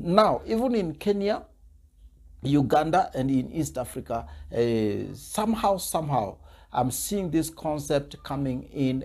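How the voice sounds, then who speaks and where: A husky man in his thirties speaks to camera as host of a religious channel. His voice sits a little high, and he builds his points with a preacher's emphasis, padding them with uh. He is recorded at a desk in a small indoor studio. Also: a little mellow. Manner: emphatic, preacherly, with many uh fillers. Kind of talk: commentary to camera.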